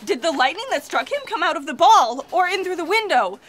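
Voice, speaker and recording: A woman's voice: extremely high pitch, sing-song, fairly quick. A woman playing an earnest, fretful, literal-minded fact checker in a comic sci-fi audio drama. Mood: panicked.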